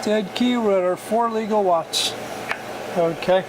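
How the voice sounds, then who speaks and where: A man's voice: high voice, measured pace. A man giving a casual, plainspoken, hands-on demonstration of equipment in an informal amateur recording. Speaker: product reviewer demonstrating a CB radio. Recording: noisy amateur recording, loud background hum.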